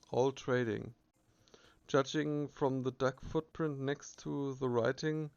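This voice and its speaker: Guy doing a game playthrough, reads slowly and corrects himself, casual asides, dry slightly dull mic. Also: medium pitch, gravelly, darkish tone, clean tone, dry recording. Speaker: let's player reading game text aloud.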